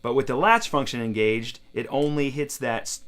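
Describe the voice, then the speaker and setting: A man's voice: mid-range voice, normal speed. A man talking casually as he demonstrates guitar gear in a tutorial.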